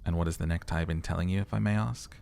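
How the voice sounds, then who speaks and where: A man voicing a patient male police lieutenant, polite, restrained and quietly tolerant. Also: sits low, fairly even intonation, quick pace, creaky. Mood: calm, concerned.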